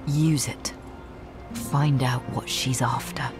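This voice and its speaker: A slow, mid-pitched voice of a man acting a sombre wandering hero in a fantasy game. He is restrained and determined, with music underneath. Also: fairly even intonation, lightly acted. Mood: resolute. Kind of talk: dramatic video game acting.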